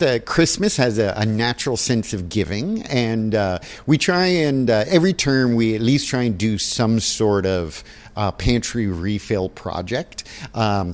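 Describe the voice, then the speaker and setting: A man giving conversational interview answers, halting and repeating words as he thinks aloud. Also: fairly low pitch, long reverb tail. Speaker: interview guest, theatre production organizer.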